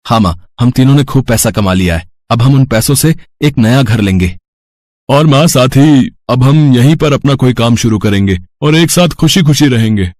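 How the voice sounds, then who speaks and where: A man's voice: mid-range voice, lively intonation, moderate pace, darkish tone, dry recording, studio quality, pitched below the performer's own voice, lightly acted. A man playing a kindly, earnest village man in a moral story cartoon. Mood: happy, earnest.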